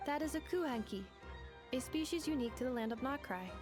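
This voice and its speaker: A woman voicing a polite, precise female robot guide in a video game, speaking in a formal, measured, analytical manner. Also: high-pitched, animated. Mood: calmly informative. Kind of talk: video game character dialogue. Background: game music.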